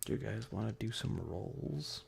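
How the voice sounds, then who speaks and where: A soft-spoken, partly whispering ASMR streamer chatting casually and playfully with viewers into a close microphone, often repeating phrases. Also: mid-range voice, expressive, a little slow, vocal fry, background noise. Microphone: close adjustable microphone.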